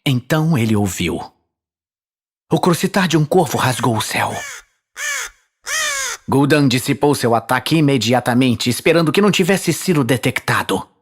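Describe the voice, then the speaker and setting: Male narrator, Brazilian, for a fantasy audio series; dramatic scripted read, husky mid-range voice. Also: mid-range voice, lively intonation, moderate pace, long pauses.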